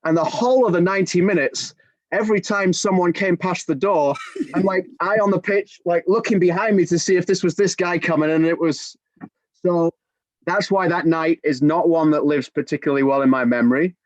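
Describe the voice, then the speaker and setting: A man in his forties, a soccer commentator, telling an animated story with many restarts on a video-call podcast. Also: high-pitched, brisk, no room sound. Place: home room with bookshelves. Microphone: video call webcam. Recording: dull, dry video-call audio.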